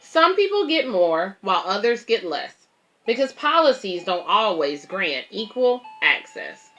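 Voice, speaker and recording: A woman's voice: medium pitch, big pitch swings, a little slow, fairly bright tone, lo-fi sound, faint music behind. A woman hosting a children's read-aloud, reading a picture book and explaining it simply to young listeners.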